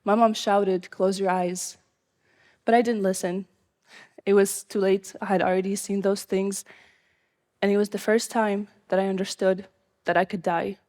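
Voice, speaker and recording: A young woman from Syria telling her own life story live, in an earnest, measured way. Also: medium pitch, flat delivery, darkish tone.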